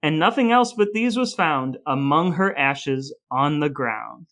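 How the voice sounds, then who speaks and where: A man's voice: fairly high pitch, expressive, fairly bright tone. Man putting on a theatrical storybook narrator of grim rhymes. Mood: mock dread. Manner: theatrical, measured, emphatic. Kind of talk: dramatic verse reading.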